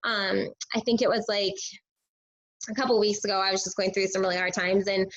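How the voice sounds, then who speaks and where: Teacher lady giving coaching tips, lots of "like"s, kinda hoarse, muffled lo-fi sound. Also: mid-range voice, flat delivery, a little fast. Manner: chatty, heavy on filler words. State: hoarse, slightly scratchy. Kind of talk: casual tips video for her coaching team. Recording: dull lo-fi recording.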